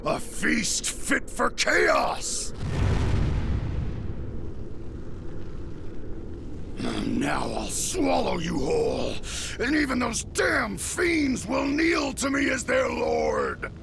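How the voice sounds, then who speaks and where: An actor playing an angry, defiant dark warrior hungry for power in a video game, snarling his lines theatrically. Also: extremely high pitch, sing-song, takes it slowly, vocal fry, noisy background, heavily acted. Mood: menacing, gloating. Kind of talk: intense dramatic video game acting. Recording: loud game music behind.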